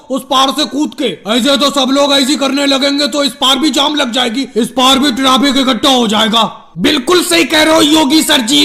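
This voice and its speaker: A man's voice: very high voice, gravelly, pushed and bright, exaggerated voice. A man voicing a complaining male cartoon politician in a grumbling, emphatic comic style.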